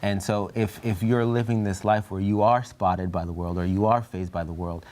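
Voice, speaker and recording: A man's voice: fairly low pitch, steady tone, normal speed, a little mellow. A young man in a Bible study group, talking in an earnest, reflective way and sometimes searching for words. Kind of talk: discussion group chat.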